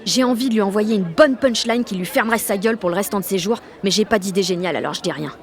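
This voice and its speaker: A woman's voice: medium pitch, quick pace, a faint crowd, close to an everyday voice. An actress playing a sullen sixteen-year-old French village girl, narrating an audio drama in blunt, sardonic slang full of swearing. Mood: scornful. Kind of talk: first-person audio fiction narration in teen slang.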